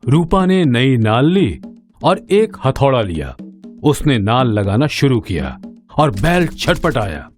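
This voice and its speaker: A male narrator reads a children's tale expressively. His mid-range voice moves with plenty of melody at a slow, deliberate pace. Music plays under the narration. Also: mid-range voice, big pitch swings. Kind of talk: scripted children's story narration.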